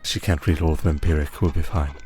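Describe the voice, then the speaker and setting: A man playing a commanding vampire archduke in a fantasy roleplay, measured, dry and authoritative in manner. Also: a bass voice, steady tone, a little mellow, slightly rough. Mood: composed authority. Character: commanding, dryly exasperated male vampire archduke. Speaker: lead voice actor.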